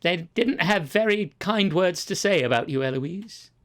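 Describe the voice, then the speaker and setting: A woman's voice: expressive, measured pace. A woman playing a gullible, flustered old man of eighty-four in improvised comedy, stammering over a video call. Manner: flustered, stammering, rising to anxious pitch.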